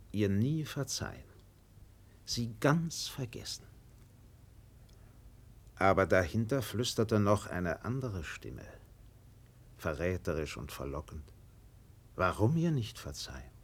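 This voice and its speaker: A man narrating a literary radio play in a solemn, measured way with dramatic pauses. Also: fairly low pitch.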